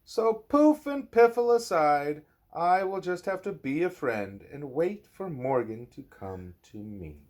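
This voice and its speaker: Male parent, young adult, for bedtime storybook read-aloud: unhurried, expressive, with soft casual asides to a child. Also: a little high. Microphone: phone recording, held or propped nearby.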